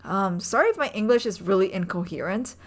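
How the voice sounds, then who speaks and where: A young woman, a native Indonesian speaker, narrating her sketching vlog in a soft, rambling way with many ums. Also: mid-range voice, lively intonation, fairly quick. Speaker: vlogger and urban sketcher. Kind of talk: casual voice-over narration.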